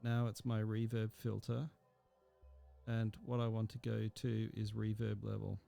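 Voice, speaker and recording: Flat mellow guy teaching a music app, talks it through step by step, lots of ums. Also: fairly low pitch, a little slow, vocal fry. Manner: thinking aloud step by step, with frequent ums. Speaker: music technology instructor. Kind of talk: screen-recorded software tutorial.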